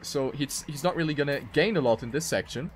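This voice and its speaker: A man's voice: fairly high pitch, a little fast, soft background music. A man giving live commentary on a video game race.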